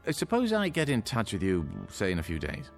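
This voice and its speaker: A patronising male doctor in a radio drama, rather low in pitch and very animated in melody. He hedges and soothes with condescension, over faint music. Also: brisk. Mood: reassuring. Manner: hesitant fillers, condescending reassurance. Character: smooth, patronising male doctor at a futuristic clinic.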